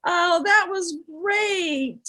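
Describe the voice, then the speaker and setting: A woman's voice: very high-pitched, drawn-out words, bright, forceful tone. A woman hosting a children's storytime, interviewing conversationally in an effusive, encouraging way.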